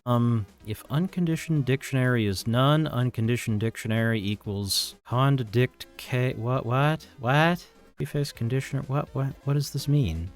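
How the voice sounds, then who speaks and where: A man's voice: medium pitch, a little slow. Grumbling man live coding over music. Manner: muttering to himself, sweary, grumbling. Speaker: livestreaming programmer. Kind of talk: casual chat while live coding.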